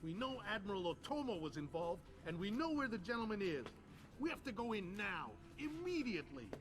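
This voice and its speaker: A blunt hawkish military advisor. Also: male voice, high voice, sing-song, brisk, poor audio. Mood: insistent. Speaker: military officer in a cutscene. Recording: game soundtrack with music underneath.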